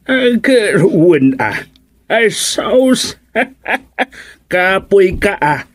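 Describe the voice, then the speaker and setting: A man acting a slow-drawling, teasing village in-law. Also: high voice, sing-song, exaggerated voice. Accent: Ilocano. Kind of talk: Ilocano comedy radio drama acting.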